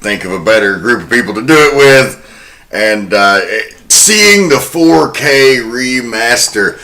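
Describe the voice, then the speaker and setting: A man's voice: medium pitch, animated, takes it slowly, bright, forceful tone. A man hosting a horror film podcast, speaking in a wry, sardonic way. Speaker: podcast host. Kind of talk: horror film recap and casual discussion.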